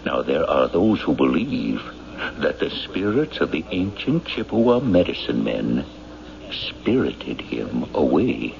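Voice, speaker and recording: A smooth-talking small-town banker in an old radio play. He argues in a measured, insinuating way, with a low voice that swings expressively, on a dull broadcast recording. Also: sing-song, darkish tone, exaggerated voice. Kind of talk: old-time radio drama acting.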